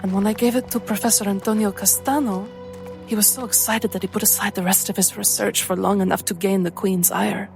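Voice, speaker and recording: A woman voicing a shrewd, teasing female traveler with a measured, coaxing manner in a tabletop game. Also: medium pitch, steady tone, vocal fry, a little mellow, faint music behind, natural voice. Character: Vianne, shrewd teasing female spy companion.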